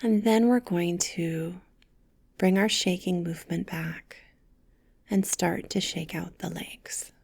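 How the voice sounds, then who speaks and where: A woman leading a guided meditation with soft, gentle, soothing instructions, breathy and often close to a whisper. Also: lowish voice, fairly even intonation, slow, dry recording.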